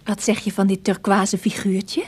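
A woman's voice: medium pitch, flat delivery, normal speed, a little mellow, slightly rough, lightly acted. A woman playing a bold, teasing stepdaughter in a radio drama, coaxing and playful. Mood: inviting.

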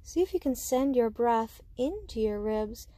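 A woman guiding a meditation with slow, soothing instruction, speaking gently and unhurriedly in short phrases with pauses. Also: a little high, lively intonation, dry recording. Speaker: meditation guide.

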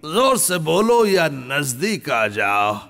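Casting: menacing cartoon tiger boss, very gravelly and forceful, stuffed-up, domineering. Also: male voice, fairly high pitch, big pitch swings, slow pace, full character voice. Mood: grumpy, congested. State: congested with a cold. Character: menacing, ailing tiger villain, lord of underlings. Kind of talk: animated cartoon dub acting.

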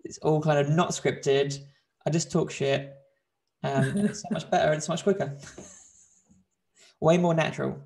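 A young man talks casually over a video call from a bright room at home, his voice a touch high and gravelly. He is chatty and laughs often, and he restarts his sentences a lot as he goes. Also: steady tone, measured pace, long pauses. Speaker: host doing a video challenge. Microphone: video call, desk mic.

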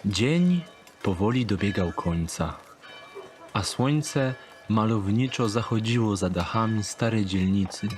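A man reads a dark thriller as an audiobook narrator. His voice sits fairly low and he moves very slowly, placing each phrase deliberately. A light layer of noise runs behind him.

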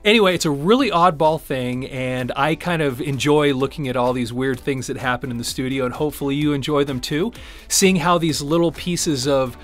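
A man's voice: medium pitch, moderate pace, fairly bright tone, soft background music. A man in his forties giving a casual, conversational explainer to camera, patiently going step by step. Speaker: presenter, audio transfer studio owner. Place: small dim wood-panelled indoor studio.

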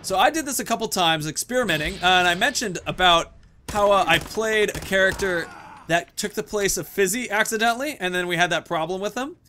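A man's voice: high-pitched, a little fast, bright, forceful tone, slight background noise. A man in his thirties giving casual commentary as the host of a gaming video.

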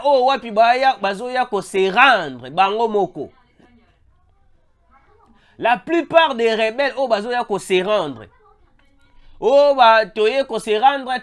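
Voice-over news commentator, Congolese French accent, stuffy with a cold, animated, keeps calling out to the audience. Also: medium pitch. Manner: animated, repeatedly calls out to viewers, mixes in Lingala. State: has a head cold. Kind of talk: emphatic news commentary to viewers. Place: voice-over over a still image.

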